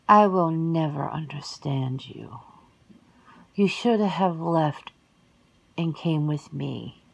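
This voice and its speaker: A woman voicing a centuries-old vampire sister in an ASMR roleplay, cool and worldly, measured and often slipping into whispers. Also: low-pitched, highs cut off, heavily acted. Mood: cool, weary. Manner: measured, breathy, dropping into whispers.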